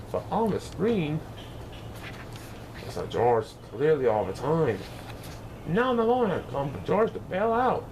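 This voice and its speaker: A man reading a children's picture book aloud in an expressive, dramatic way, occasionally stumbling over words. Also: on the high side, a little hiss or hum, rough recording. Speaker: storybook reader, show host.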